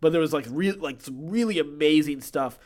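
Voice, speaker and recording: Guy podcast cohost, slightly high voice, just chatting about geek stuff. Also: fairly quick, a little mellow. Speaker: podcast co-host. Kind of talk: casual chat about TV and comics.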